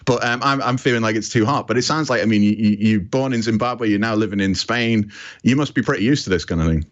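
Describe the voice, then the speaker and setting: A man's voice: lowish voice, quick pace, highs cut off. A British man presenting a podcast in a chatty, informal and self-deprecating way. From Britain. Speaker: podcast host.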